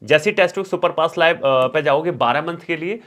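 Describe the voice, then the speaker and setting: A man's voice: on the high side, brisk, a bit bright. A man teaching as an exam coach, instructional, repeating key words for emphasis and mixing in English terms. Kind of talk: exam strategy lesson with course promotion.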